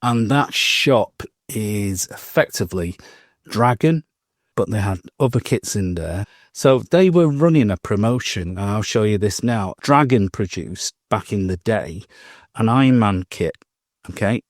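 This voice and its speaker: Man, lowish voice, chatty, dry close mic. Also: animated, unhurried, no echo. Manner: chatty storytelling with laughs and restarts. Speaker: podcast co-host. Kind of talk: casual chat.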